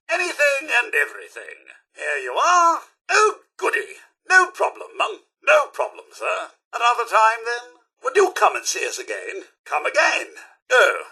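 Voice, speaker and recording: A fussy British robot butler from a video game, loyal yet snippy. The voice sits high and swoops widely, with a bright, forceful edge. The delivery is posh, theatrical and elaborately polite. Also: male voice, slightly rough, exaggerated voice. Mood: chipper, obliging. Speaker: companion character in a video game. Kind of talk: video game voice acting, short dialogue lines.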